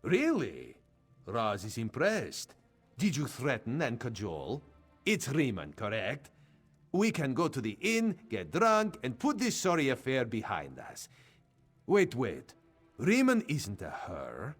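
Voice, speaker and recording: A man's voice: medium pitch, huge pitch swings, slow, husky, soft background music, heavily acted. A man voicing a cheerful male cat-folk rogue who talks about himself in the third person, as AI-voiced game dialogue. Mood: playful.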